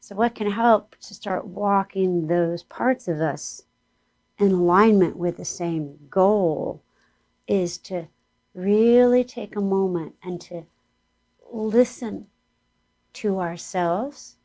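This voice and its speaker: Slow, hoarse-voiced woman teacher. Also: medium pitch. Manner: slow, gentle and soothing guidance; earnest, speaks about Spirit and angels. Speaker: spiritual teacher. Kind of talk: guided meditation exercise.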